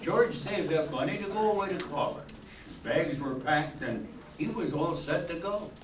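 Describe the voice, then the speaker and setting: A man's voice: fairly high pitch, expressive, moderate pace, close to an everyday voice. An older man playing a patient, knowing angel who explains things as storyteller in an amateur radio play. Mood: patient, informative. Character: patient, knowing male angel narrating a man's life. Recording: echoey, distant, very noisy room.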